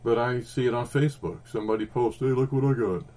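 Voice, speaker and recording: A man's voice: mid-range voice, quick pace, darkish tone. A man in his fifties with an Oklahoma accent, chatting casually as a podcast co-host, rambling with ums and restarts. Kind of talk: casual chat about comics.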